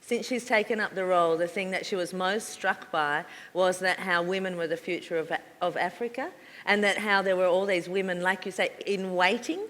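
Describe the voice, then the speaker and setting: Low-voiced woman, thoughtful. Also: steady tone, normal speed, fairly bright tone. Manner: thoughtful, hesitant with many fillers. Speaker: panelist. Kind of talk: panel discussion. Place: university lecture hall. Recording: reverberant lecture hall.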